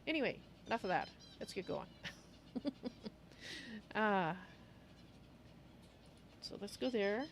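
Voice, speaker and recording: A woman's voice: medium pitch, lively intonation, slow pace, pauses often. Woman livestreamer chatting over background noise. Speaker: livestream host. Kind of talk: casual livestream chat.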